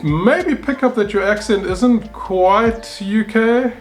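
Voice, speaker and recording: A man's voice: high voice, slow pace. A South African man in his thirties chatting casually about cars as a host. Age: 30s. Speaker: game show host and car YouTuber.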